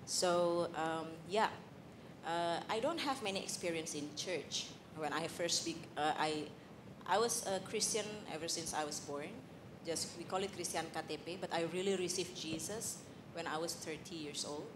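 A woman from Indonesia with an Indonesian accent, sharing a candid personal testimony on a church panel, halting with fillers, on a handheld mic in a large hall. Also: fairly low pitch, noisy background. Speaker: panel guest.

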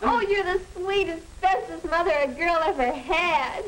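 A young woman playing an excitable, affectionate, girlish teenage daughter in a 1950 educational film. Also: very high-pitched, a little slow. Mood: elated. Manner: gushing, girlish. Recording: noisy, crackly 1950 film soundtrack.

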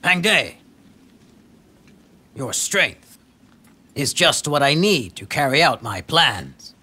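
Forceful, ambitious conquering warlord. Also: male voice, medium pitch, sing-song, takes it slowly, slightly rough, exaggerated voice. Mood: confident ambition. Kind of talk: dubbed video game cutscene acting.

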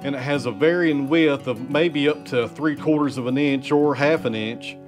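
A man's voice: medium pitch, normal speed. A man in his thirties explaining woodworking machinery to camera in a workshop, speaking casually and addressing viewers as friends. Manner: plain-spoken, addresses viewers as friends and guys. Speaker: sawmill vlogger. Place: indoor wood workshop. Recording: guitar music playing underneath.

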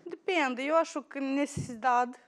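Accented woman, halting studio interview. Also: high-pitched. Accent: Moldovan. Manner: halting, searching for words, emotional. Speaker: guest. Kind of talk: casual interview chat. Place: bright TV studio, indoors. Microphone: lapel mic.

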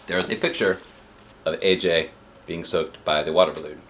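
A man reading a children's book aloud in an expressive, playful way, with chatty asides. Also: low-pitched, normal speed, a little hiss or hum. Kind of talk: animated storybook read-aloud with chatty asides. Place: living room sofa, indoors. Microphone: camera a short distance away.

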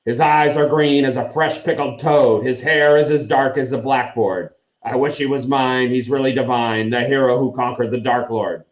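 A man voicing a grim, pushy male dwarf messenger in a storybook, gruff, blunt and insistent. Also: mid-range voice, fairly even intonation, normal speed, hardly any pauses, voice pushed up, close to an everyday voice. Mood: dogged recital. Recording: echoey room, dull muffled sound.

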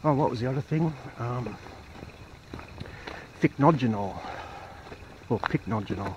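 A man's voice: mid-range voice, expressive, measured pace. A man filming his own night hike on a bush trail, chatting to himself and to animals he passes. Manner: rambling, talks to himself and to animals. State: a bit dry mouthed. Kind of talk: casual self-narration. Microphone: handheld camera mic. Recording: dull outdoor camera audio with wind noise.

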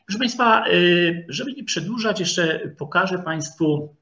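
A man's voice: high-pitched, expressive, pushed and bright. Hesitant male lecturer, dull lo-fi audio. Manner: hesitant, halting, repeats words. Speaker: historian giving a lecture. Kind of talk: informal lecture with slides. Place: online video call presentation with screen share. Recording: dull, lo-fi online call audio.

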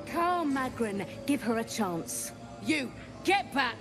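Lively, hostile female gate guard. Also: sits high, takes it slowly, over loud music. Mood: barking. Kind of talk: video game voice acting.

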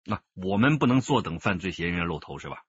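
An actor playing a commanding, measured police squad chief in a radio drama, with a husky voice. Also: male voice, low-pitched, animated, no echo, lo-fi sound. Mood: firm, urging.

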